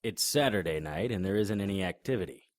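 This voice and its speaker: A man's voice: deep voice, expressive, a little fast, no room sound. A man playing a wisecracking monster hunter in an audio drama, speaking in a dry, sardonic, matter-of-fact way. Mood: wry. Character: wisecracking middle-aged male monster hunter. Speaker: vampire hunter and narrator.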